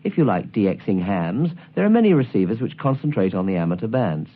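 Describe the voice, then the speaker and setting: Male presenter for scripted how-to narration; animated intonation, somewhat deep, slightly rough-edged voice, didactic delivery. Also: a little fast, phone-quality sound. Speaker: presenter of a shortwave listening guide.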